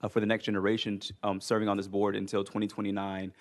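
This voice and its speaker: A man's voice: fairly low pitch, monotone. A male legislator questioning a nominee at a hearing, polite, with lots of ums. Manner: polite, many ums, rapid.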